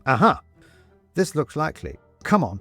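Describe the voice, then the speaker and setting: A man's voice: fairly high pitch, very animated, moderate pace, sharp tone, husky, faint music behind, pitched above the performer's own voice, full character voice. A man voicing a fussy, courteous monk in a storybook, with a wordy, exclamatory, bookish way of talking. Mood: brisk, courteous. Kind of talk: storybook character voice in audiobook.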